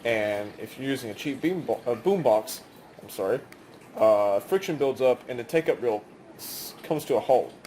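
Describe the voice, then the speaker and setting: A man's voice: medium pitch, lively intonation, normal speed, dark tone, slight background noise. A man giving a casual, rambling hands-on demonstration in a conversational way. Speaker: video maker demonstrating cassette tapes.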